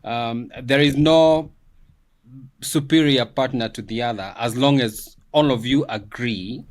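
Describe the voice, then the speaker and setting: A man with a mid-pitched voice talks through his views in a calm, measured way. He shares reflections on a recent discussion and pauses with casual fillers. The exchange is relaxed and conversational. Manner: measured, thoughtful, fillers like "you know". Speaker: guest commentator.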